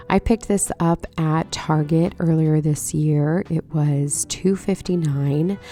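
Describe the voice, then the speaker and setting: A woman with a low, mellow voice narrates a home vlog as a voice-over, slightly hoarse. She talks plainly and conversationally. Faint music runs underneath. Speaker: home vlogger.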